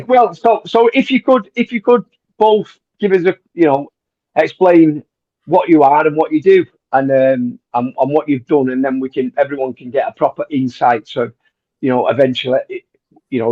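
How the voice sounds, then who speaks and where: A man's voice: medium pitch, fairly even intonation, normal speed, a little mellow. A man hosting a podcast, talking casually with lots of hesitations and repeated words. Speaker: podcast host. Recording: dull lo-fi audio.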